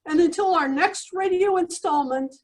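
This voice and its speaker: A woman's voice: very high-pitched, normal speed. A woman announcer reading scripted credits for a radio comedy.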